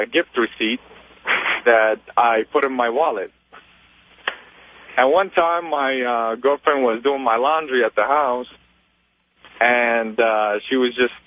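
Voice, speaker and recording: A man in his twenties calling a radio show, telling a story casually and a little haltingly. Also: mid-range voice. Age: 20s. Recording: tinny narrow phone line.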